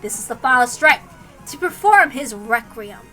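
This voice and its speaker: A woman narrating a visual novel aloud in an expressive, dramatic storytelling style. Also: fairly high pitch, normal speed, soft background music. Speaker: narrator, reader.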